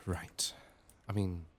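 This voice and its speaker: A man speaking softly and sometimes whispering, muttering his thoughts aloud as he commentates a game for ASMR. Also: a little hiss or hum. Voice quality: hushed, soft, sometimes slipping into whisper. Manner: muttering, thinking out loud. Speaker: gaming video host. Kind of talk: soft-spoken ASMR game playthrough commentary.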